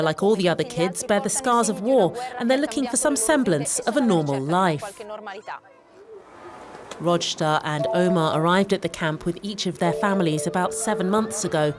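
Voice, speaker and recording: A woman narrating a news report in a measured, scripted voice-over. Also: on the low side, expressive. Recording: voice-over with music underneath.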